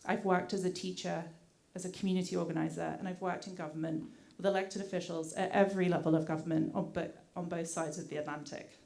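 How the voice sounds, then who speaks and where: A woman's voice: on the low side, fairly even intonation, quick pace, gravelly, few pauses, darkish tone. A woman who grew up in the UK and speaks with a mixed British accent, addressing a community meeting as director of community affairs, polite and thankful, with many "uh" fillers. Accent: British with some American mix. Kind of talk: prepared public remarks at a community meeting.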